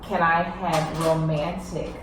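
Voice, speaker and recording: A woman's voice: low-pitched, level tone, slow pace, background noise. A woman guest at a roundtable talking casually, hesitating and repeating words as she works out her point, recorded far off in an echoey room. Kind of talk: casual group discussion.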